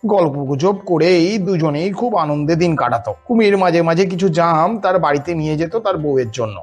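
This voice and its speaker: A man tells a children's fable as a scripted narrator. His delivery is very expressive and his tone husky, with music playing underneath. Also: a little high, normal speed. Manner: animated. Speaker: storyteller narrator.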